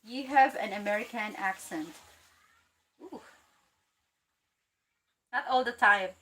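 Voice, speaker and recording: A woman from the Philippines hosting a casual livestream, chatty and excitable, reading out viewer comments and laughing easily. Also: medium pitch, takes it slowly. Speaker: livestream host.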